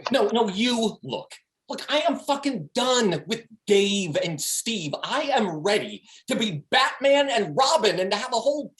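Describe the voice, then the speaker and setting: Man playing a cocky, excitable slacker schemer, wildly animated. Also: extremely high pitch, exaggerated voice. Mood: pushy, scheming. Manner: slangy, emphatic, swinging between hushed and pushy. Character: Steve, cocky, excitable, foul-mouthed male slacker schemer. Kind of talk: table read of a stage comedy. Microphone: video call. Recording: video-call audio.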